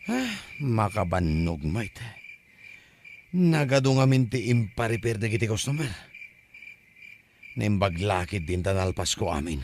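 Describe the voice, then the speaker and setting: A man's voice: lowish voice, slight background noise, full character voice. A man playing a harried, weary mechanic boyfriend, placating and exasperated, in an Ilocano comedy audio drama. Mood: tired.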